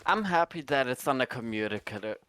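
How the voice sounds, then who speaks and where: A man's voice: mid-range voice, animated, a little fast, sharp tone, clean tone, no echo. A man whose first language is Polish, tipsy and laughing as he searches for English words in casual live-stream chat.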